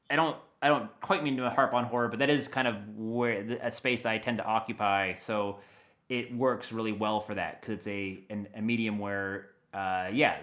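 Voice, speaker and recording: A man speaking as an audio dramatist guest in a conversational, enthusiastic interview, telling things in an animated way. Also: fairly low pitch.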